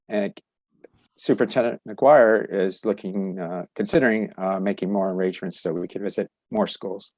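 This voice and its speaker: A man chairing a council meeting, halting with many fillers and restarts, losing his voice. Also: lowish voice, darkish tone, no room sound. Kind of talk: virtual public meeting.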